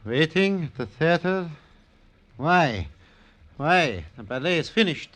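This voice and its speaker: An actor playing an anguished, unstable male ballet impresario, theatrical and halting, in an old-time radio drama. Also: on the high side, big pitch swings, fairly bright tone, heavily acted. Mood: despairing. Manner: theatrical, halting, repetitive, formal phrasing.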